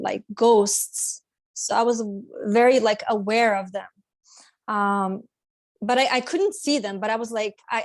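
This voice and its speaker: Slow-talking woman guest. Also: on the high side, dry recording. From Sweden. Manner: hesitant, many fillers and restarts. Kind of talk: casual personal storytelling interview.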